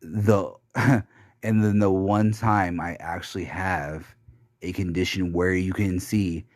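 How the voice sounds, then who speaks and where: Bitter, deep-voiced young man rambling. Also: fairly even intonation, darkish tone, no echo, studio quality. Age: young adult. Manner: bitter and rambling, with heavy swearing; halting, with many restarts and fillers. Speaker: podcaster. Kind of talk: confessional monologue.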